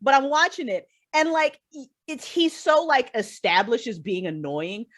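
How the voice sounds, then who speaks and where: A woman's voice: a little high, measured pace, a bit bright. A woman chatting casually as an interview guest, blunt and emphatic, with slangy phrasing and easy swearing. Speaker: guest, writer and creator of audio dramas. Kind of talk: casual, profane fan chat.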